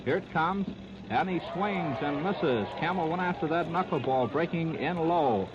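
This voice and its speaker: A muffled, noisy 1930s radio recording of an American man announcing a ball game. His voice sits fairly high, and he reports each move in short, terse phrases as a live play-by-play commentator. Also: expressive, normal speed. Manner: clipped, terse running phrases. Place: ballpark broadcast.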